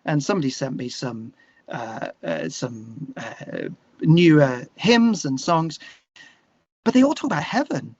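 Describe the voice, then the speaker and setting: Male interview guest, slightly higher register, conversational and opinionated, working through thoughts aloud, on a dull lo-fi recording. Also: lively intonation, unhurried, darkish tone, breathy edge, no room sound. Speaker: interview guest, musician and songwriter.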